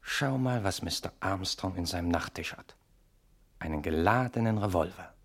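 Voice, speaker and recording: An actor playing a smooth-talking, coolly conspiratorial man in a 1960s German radio drama. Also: deep voice, lively intonation, moderate pace, heavily acted. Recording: faintly noisy old radio recording.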